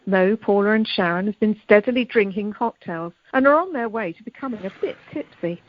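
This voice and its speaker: A woman's voice: mid-range voice, lively intonation, brisk, natural voice. A woman as the storyteller of an amateur radio play, narrating scene directions plainly from a script. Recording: dull telephone conference line.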